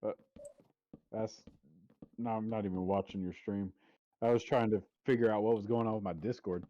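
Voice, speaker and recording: A man's voice: lowish voice, steady tone, moderate pace, a little hiss or hum. A man hosting a gaming stream, chatting casually in a laid-back, matter-of-fact way. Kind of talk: casual gamer chat. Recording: dull lo-fi voice with faint music.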